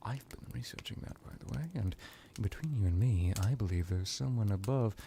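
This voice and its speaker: A man playing a smug, powerful vampire lord in an ASMR roleplay, talking in a halting, boastful, condescending and mock-polite way. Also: on the low side, gravelly, dark tone, a little hiss or hum. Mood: lecturing, smug.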